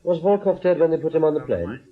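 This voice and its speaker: An actor playing a guarded, steady British intelligence man in a radio drama, terse and understated with a slightly husky voice. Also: on the high side, fast, narrow, tinny audio. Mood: probing. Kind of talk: naturalistic radio drama acting.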